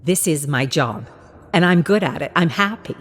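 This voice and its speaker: A woman's voice: sits low, animated, moderate pace. Woman voicing a pleading, determined young daughter. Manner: earnest, pleading.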